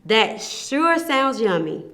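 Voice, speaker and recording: A woman's voice: fairly high pitch, big pitch swings, slow pace, fairly bright tone, a little hiss or hum, heavily acted. A woman voicing a polite, hungry little boy in a children's storybook, savouring words and exclaiming. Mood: delighted.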